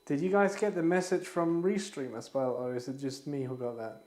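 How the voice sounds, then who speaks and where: A man's voice: fairly high pitch, moderate pace, faint music behind. Rambling man streaming from an echoey kitchen. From Denmark. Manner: rambling, relaxed, swears casually. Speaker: livestreamer cooking. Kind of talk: casual chat while cooking. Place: home kitchen. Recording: echoey room with faint noise.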